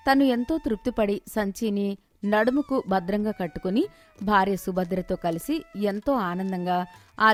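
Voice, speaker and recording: Female storyteller, mid-range voice, scripted moral-tale narration, expressive, music bed underneath. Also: mid-range voice.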